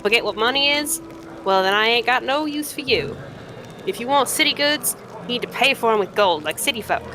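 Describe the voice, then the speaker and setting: Woman putting on a high-pitched, grasping old scavenger woman. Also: lively intonation, a little fast, noisy background, lightly acted. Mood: haggling, scornful. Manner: rustic, ungrammatical, blunt haggling. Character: brash old mongrel trader woman, grasping, scornful, cave-dwelling scavenger.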